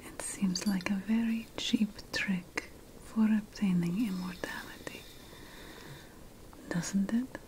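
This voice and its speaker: A woman whispers a fantasy roleplay in ASMR style as a playful, self-important witch and potion master, breathy and deliberate, with pauses between phrases. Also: mid-range voice, steady tone. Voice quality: breathy, mostly unvoiced whisper. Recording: faint background noise.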